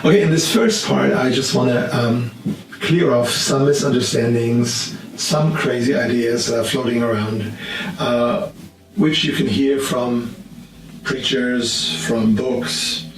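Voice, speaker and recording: A man's voice: mid-range voice, takes it slowly, very echoey, far from the mic, soft background music, rough recording. A man with a German accent teaching earnestly and plainly about money and faith from his office, often restarting his sentences. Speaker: Bible teacher. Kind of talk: informal teaching talk to camera.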